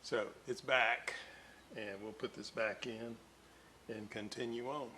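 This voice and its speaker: A man restoring an old radio, slowly thinking aloud with lots of fillers as he works at his bench. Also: mid-range voice, fairly even intonation. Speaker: electronics restorer. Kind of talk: casual workbench narration. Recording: echoey, distant, noisy workshop audio.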